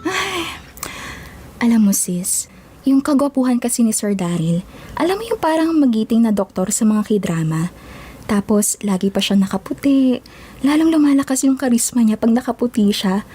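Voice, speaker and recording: A woman's voice: on the high side, sing-song, moderate pace, dark tone, husky. A woman playing a dramatic, playful young office worker with a theatrical, gushing way of talking in an audio drama. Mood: giddy, smitten. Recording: noisy ambience behind the dialogue.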